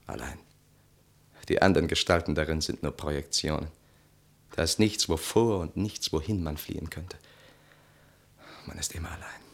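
An actor playing a resentful, self-absorbed, brooding husband in a German literary radio play, with an intense, articulate, theatrical delivery. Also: male voice, a bass voice, steady tone, normal speed, creaky, pauses often. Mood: bleak, brooding.

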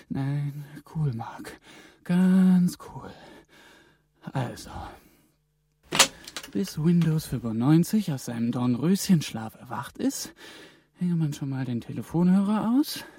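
An actor playing a hunted young man in a radio play, muttering a halting, breathy inner monologue with frantic, sardonic edges. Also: medium pitch, mellow, no room sound, clean studio sound. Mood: tense, wry.

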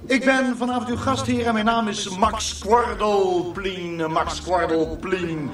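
A man playing an oily, grandiose showman, hosting a doomsday dinner show with drawn-out, jokey patter in a radio play. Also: high-pitched, fairly even intonation. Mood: showy, jovial. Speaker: master of ceremonies. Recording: echoey hall, distant mic, loud music, crowd.

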